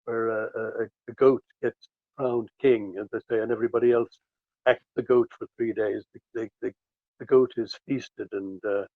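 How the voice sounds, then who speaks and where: A man with a mid-pitched voice talks casually as an interviewee, halting and repeating words. The sound is narrow and phone-like, with little room in it. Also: fairly even intonation, dry recording. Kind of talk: casual reminiscing. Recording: thin phone-like line.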